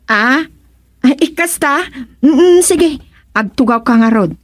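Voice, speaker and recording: A woman's voice: high voice, animated, slow pace, dry recording, clean studio sound. A woman playing a nosy, concerned village councillor in a radio comedy, exclaiming and questioning in an Ilocano accent. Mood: concerned, curious. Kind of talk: radio serial drama-comedy acting.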